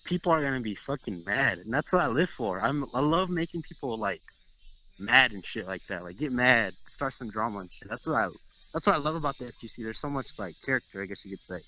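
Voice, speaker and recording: A young man from Texas chats brashly about competition in an interview, swearing freely and boasting. His voice is bright and pushy, sitting at an ordinary pitch for a man. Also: lively intonation, brisk, rough recording. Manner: brash, swearing, trash-talking. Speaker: guest player. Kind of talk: casual interview chat.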